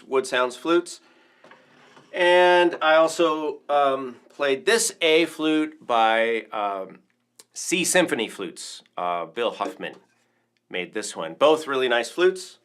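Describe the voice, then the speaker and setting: A man's voice: medium pitch, slow pace, a bit bright, clear, resonant tone. A man hosting a casual livestream as a percussion teacher, talking through instruments in an informal, rambling, enthusiastic way.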